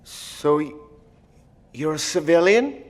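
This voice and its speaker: An actor playing a nervous, wary scientist in an audio drama, speaking in hesitant, precise, educated phrases. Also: male voice, fairly high pitch, animated, slow pace. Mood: alarmed, wary. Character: nervous blind male scientist, formal and wary, stranded alone. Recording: long echoey reverb of an empty hall.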